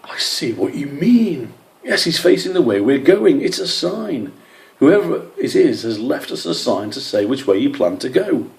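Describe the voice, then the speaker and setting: Thoughtful badger leader, read by a man: earnest, deliberate storybook hero, slightly gravelly. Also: medium pitch, sing-song, darkish tone, voice pushed up. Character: earnest, thoughtful male badger leading his friends.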